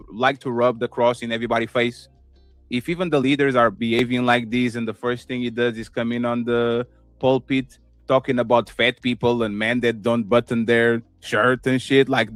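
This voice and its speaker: A man with a Portuguese accent giving his opinions on a podcast, animated, swinging between loud and soft and acting out quotes. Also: medium pitch, no echo. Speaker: podcast co-host. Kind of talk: casual opinion talk.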